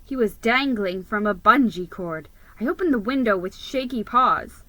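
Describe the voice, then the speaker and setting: A woman giving a theatrical, expressive reading of a children's story as its narrator. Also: fairly high pitch, normal speed, no echo, poor audio. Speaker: story reader.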